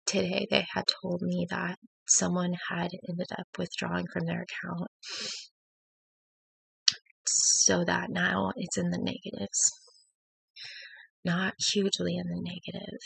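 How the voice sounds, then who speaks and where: A woman who has just woken up, speaking in a gravelly voice as she talks through a personal struggle at home on her laptop, hesitant and reflective with many fillers and restarts. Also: lowish voice, flat delivery, measured pace, pauses often, no room sound, low-quality recording. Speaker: faith vlogger. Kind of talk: personal confessional talk to camera.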